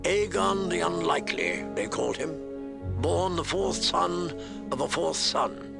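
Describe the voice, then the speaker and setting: Male audiobook narrator, fairly high and very creaky, animated character-led storytelling, music behind. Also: a little slow.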